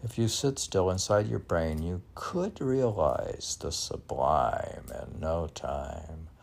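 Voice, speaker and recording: An older man reading his own poems and chatting, halting and soft, often restarting his words. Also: deep voice, lively intonation, creaky. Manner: halting, with frequent restarts and fillers. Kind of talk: poetry reading mixed with casual chat.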